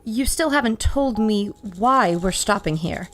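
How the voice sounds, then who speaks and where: A woman's voice: medium pitch, animated, a little slow, close to an everyday voice. An actress playing an inquisitive lady reporter who narrates to listeners in a 1930s-style radio adventure drama. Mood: hushed, concerned.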